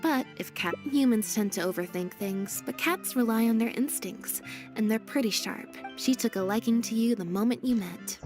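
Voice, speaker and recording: A woman's voice: a little high, normal speed, a little mellow, soft background music, lightly acted. A woman playing a composed, perceptive young woman in a video game, speaking thoughtfully.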